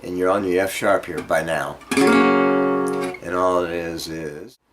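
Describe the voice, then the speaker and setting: A man giving an informal guitar lesson, explaining haltingly and checking in with the listener as he demonstrates phrases. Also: deep voice, fairly even intonation. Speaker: guitar teacher. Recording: loud acoustic guitar alongside the voice. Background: guitar being played between phrases.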